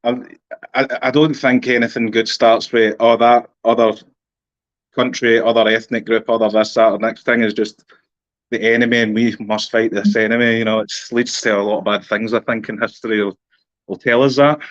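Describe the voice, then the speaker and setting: A man in his thirties with a Glaswegian accent, a guest in a relaxed conversation who laughs easily. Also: lowish voice, normal speed, no echo. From Glasgow area, Scotland. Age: 30s. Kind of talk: casual conversation. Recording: dull, muffled call audio.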